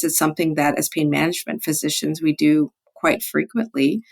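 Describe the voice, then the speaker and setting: A woman physician giving clear, structured explanations as an interview guest. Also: deep voice, no room sound.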